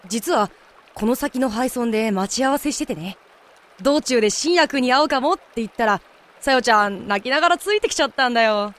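A woman plays a devoted young man in an audio drama. The voice is high and swoops expressively. He is gentle, polite and talkative, with an apologetic manner. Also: expressive, fairly quick. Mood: breezy. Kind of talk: Japanese amateur voice drama acting.

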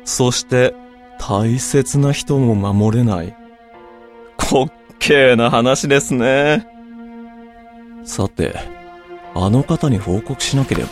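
A man playing a coldly polite, mocking villain in an audio drama, speaking smugly with a sneer under the courtesy. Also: medium pitch. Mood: smug contempt, then businesslike. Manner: slow, smug, politely sneering. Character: coldly polite, mocking male villain who hunts werewolves. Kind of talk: Japanese audio drama acting.

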